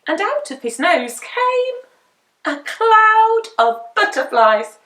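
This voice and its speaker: A woman storyteller reads a children's picture book with very lively, swooping intonation. Her voice is bright and slightly high, her delivery dramatic and deliberate, in a roomy echoing space. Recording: roomy indoor echo.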